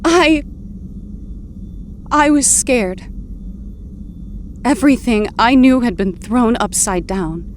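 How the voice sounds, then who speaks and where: A woman acting an earnest, confused heroine back from death in an audio drama, pleading and halting in manner. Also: a little high, expressive, a little hiss or hum. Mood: earnest, vulnerable.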